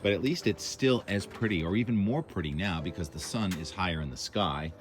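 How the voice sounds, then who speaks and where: A man with a loud voice, chatting casually about the weather outdoors, rambling with lots of fillers, recorded on a camera mic with no lavalier. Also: deep voice, a little fast. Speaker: weather vlogger. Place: outdoors by the water in the morning.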